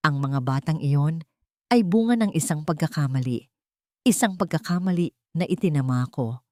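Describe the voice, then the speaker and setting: A woman voicing a proud older rich matriarch in a dramatic story, calm, hard and without remorse. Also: sits low, moderate pace, no echo, clean studio sound. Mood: hard conviction. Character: cold, proud, unrepentant older wealthy matriarch.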